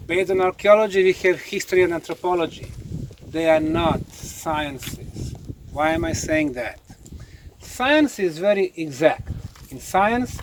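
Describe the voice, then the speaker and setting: A man lecturing on location as a documentary presenter, speaking emphatically and deliberately. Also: fairly high pitch, animated, noisy background. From Bosnia. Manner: emphatic, deliberate, asks rhetorical questions.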